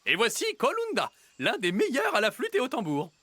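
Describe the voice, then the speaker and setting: A man's voice: very high-pitched, huge pitch swings, fairly quick, exaggerated voice. A man voicing a jolly, playful male cassowary in a cartoon, exuberant and teasing, often breaking into giggles. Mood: cheerful, teasing. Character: jolly, playful male cassowary who loves singing and dancing. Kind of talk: cartoon dub acting. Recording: music behind the voice.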